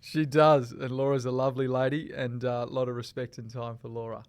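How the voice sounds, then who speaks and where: A man's voice: mid-range voice, flat delivery, brisk. A man hosting a podcast interview, friendly and prone to repeating words as he frames long questions. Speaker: podcast host.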